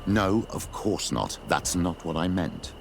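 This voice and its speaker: An actor playing a grave, principled older clergyman in a video game, speaking solemnly in a gravelly voice. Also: low voice, big pitch swings, slow pace, a little hiss or hum, full character voice. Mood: grave, disapproving.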